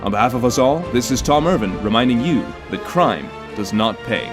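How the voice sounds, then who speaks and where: Guy announcer for an old style radio show, scripted read, medium pitch, music playing behind. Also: lively intonation, a little fast. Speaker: announcer, narrator.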